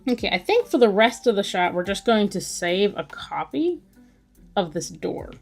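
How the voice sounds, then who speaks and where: A woman's voice: mid-range voice, sing-song, moderate pace, a little mellow. A woman chatting casually as she draws on a livestream, thinking aloud in a musing way. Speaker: artist hosting a drawing livestream. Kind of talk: casual chat while drawing. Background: music.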